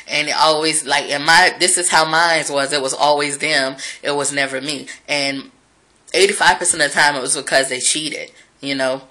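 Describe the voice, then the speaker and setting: Blunt thirtysomething woman, lowish voice with a bright edge, cussing and talking straight to the camera. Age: 30s. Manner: frank, profane, reflective, talking straight to the camera. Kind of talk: candid vlog monologue to viewers.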